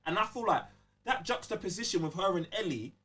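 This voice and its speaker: A London man co-hosting a casual gaming podcast. His fairly high voice swings around with a lot of animation, and his talk is slangy and emphatic. He sounds a little distant from the microphone. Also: animated, fairly quick, bright, forceful tone. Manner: slangy, emphatic, repeatedly checking the listener follows. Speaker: podcast co-host. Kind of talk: casual game review chat.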